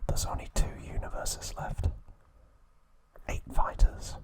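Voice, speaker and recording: Slow whispering man, ASMR recap. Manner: slow, unvoiced whisper with small slips and mispronunciations. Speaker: ASMR host.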